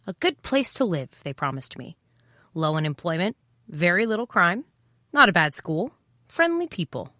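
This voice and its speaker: Guarded female detective, very low pitch, bright close dry sound, wry, understated, noir audio drama. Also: no echo. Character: guarded, wry female private eye seeking someone. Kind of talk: noir first-person audio drama narration and dialogue.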